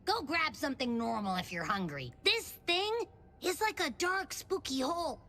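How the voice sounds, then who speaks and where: A woman's voice: high-pitched, sing-song, normal speed, sharp tone, exaggerated voice. A woman voicing a feisty, mouthy little creature companion in a video game, slangy and easily offended. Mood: indignant, spooked. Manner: brash, slangy, indignant. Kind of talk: animated video game cutscene acting.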